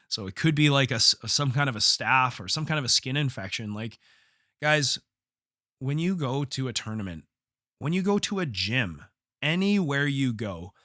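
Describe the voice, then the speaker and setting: Bright-voiced man, blunt casual podcaster, slightly hoarse. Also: medium pitch, no echo, studio quality. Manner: blunt, profane, slangy. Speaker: podcast host, jiu-jitsu coach and gym owner. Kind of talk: casual solo podcast storytelling.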